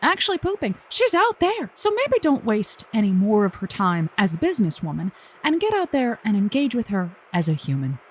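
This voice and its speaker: A woman's voice: medium pitch, wildly swooping pitch, moderate pace, breathy edge. A woman playing a sympathetic, folksy host of a comedy call-in advice show, encouraging in manner.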